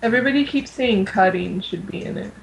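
A woman's voice: medium pitch, brisk, vocal fry, low-quality recording. Young woman rambling casually, faint noise. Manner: informal, rambling, says "like" often. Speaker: podcast co-host. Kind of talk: casual fan podcast chat.

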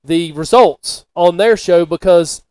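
A man co-hosting a podcast in casual banter, talking in an animated, halting way and often repeating himself. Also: high voice, clean tone, no room sound. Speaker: podcast co-host.

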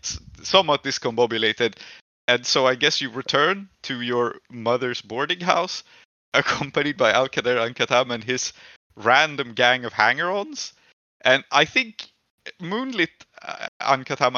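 Male game master, very bright forceful delivery, amused and off the cuff, close dry sound. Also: medium pitch, animated, normal speed, dry recording, muffled recording. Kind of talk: casual improvised narration of a tabletop game.

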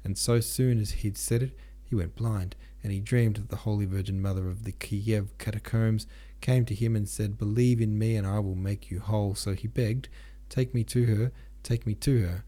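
A man's voice: fairly low pitch, steady tone, normal speed, few pauses, dark tone, close to an everyday voice. A man reading the part of a garrulous, devout old peasant pilgrim woman in an audiobook, earnest and easily shocked. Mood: horrified, admonishing. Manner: rambling, earnest, pious, admonishing.

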